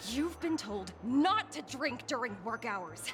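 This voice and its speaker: A woman playing a stern, exasperated boss in a video game, with brisk, scolding authority. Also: a little high, very animated, music in the background. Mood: stern, weary.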